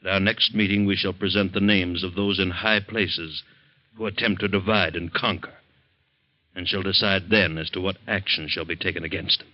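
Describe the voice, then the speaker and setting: An actor playing the grave leader of a secret band of scientists, speaking formally and like an orator in 1940s radio drama. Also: male voice, low-pitched, fairly even intonation, normal speed, no room sound, lightly acted. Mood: grave, resolute. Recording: dull old radio recording.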